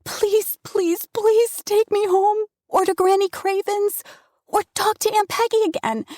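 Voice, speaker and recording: A woman's voice: fairly even intonation, a little slow, mellow, no echo, clean studio sound. A woman doing a desperate pleading teenage girl. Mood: begging. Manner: pleading, tearful, high-pitched.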